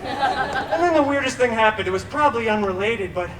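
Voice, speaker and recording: Flippant antihero, very high male voice, theatrical comic storytelling, campy asides, echoey, far from mic, background music. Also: animated, a little fast, a crowd in the background, noisy background. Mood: animated. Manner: flippant, campy, mock-dramatic asides to the audience. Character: cheeky, theatrical male antihero telling his own fairy tale. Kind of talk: live comic storytelling show. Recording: echoey theme park PA, distant, music behind.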